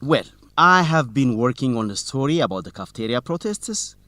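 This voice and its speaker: A determined student reporter guy, teasing, swingy pitch, dry radio drama sound. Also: sing-song, moderate pace, sharp tone, dry recording. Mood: determined, suspicious. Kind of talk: scripted educational radio drama dialogue.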